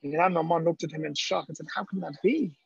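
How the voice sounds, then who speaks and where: A man's voice: fairly high pitch, fast, creaky, husky. A middle-aged man with an Israeli accent telling a story as an educator in a webinar, speaking over a video call from a small room and lifting his voice when he quotes people. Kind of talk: storytelling lecture. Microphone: webcam on a video call. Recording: dull lo-fi video call audio.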